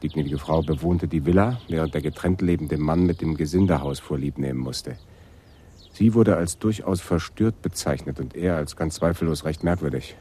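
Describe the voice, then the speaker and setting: Low-voiced, observant police sergeant. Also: male voice, moderate pace, mellow, husky. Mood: matter-of-fact. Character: observant, nature-loving Amsterdam police sergeant. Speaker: actor in a radio play. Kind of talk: German radio drama dialogue.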